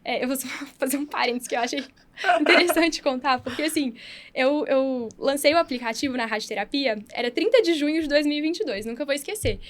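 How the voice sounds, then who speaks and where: A woman doctor hosting a podcast, telling stories enthusiastically in a casual, chatty way with lots of fillers. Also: sits high. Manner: enthusiastic, fast storytelling with many fillers. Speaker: podcast host, radiotherapy doctor and app founder.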